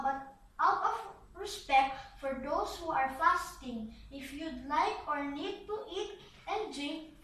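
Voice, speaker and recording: A woman's voice: high-pitched, a bit bright. A woman voicing earnest, polite young boy puppets with careful, recited delivery in a scripted puppet show. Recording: roomy echo, slightly distant mic.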